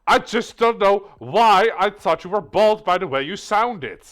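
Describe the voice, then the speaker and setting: Lively man in casual chat. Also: high-pitched, measured pace. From Netherlands. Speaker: co-host.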